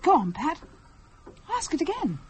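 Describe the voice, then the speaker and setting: An actress playing a teasing, wry, sociable wife and hostess in a radio play. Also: female voice, sits high, huge pitch swings, unhurried, a little mellow, slight background noise, full character voice. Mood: curious.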